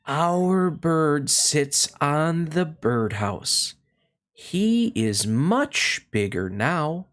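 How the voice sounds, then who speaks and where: A man hosting a read-along, reading a children's picture book aloud in a slow, expressive way and then chatting about it. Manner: slow, expressive storytelling with swooping pitch.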